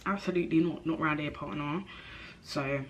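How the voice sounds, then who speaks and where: A young woman vlogging to camera in a chatty, animated way, her nose a bit stuffy from a cold. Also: low-pitched, moderate pace, slight background noise. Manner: chatty, animated, self-interrupting, slangy. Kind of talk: casual chat to camera.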